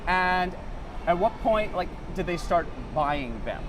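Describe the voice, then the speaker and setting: A man's voice: high voice, animated, takes it slowly, recorded from a distance, background noise. A man interviewing guests, asking casual questions that he often restarts mid-sentence.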